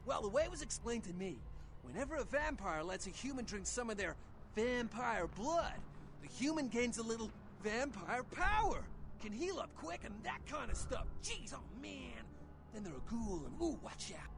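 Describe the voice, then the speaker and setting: An actor voicing an excitable, whiny young male vampire's servant in a video game, gushing and stammering in slangy speech. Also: very high-pitched, normal speed, gravelly, exaggerated voice. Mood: agitated, offended. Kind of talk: video game character dialogue. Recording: game music behind the voice.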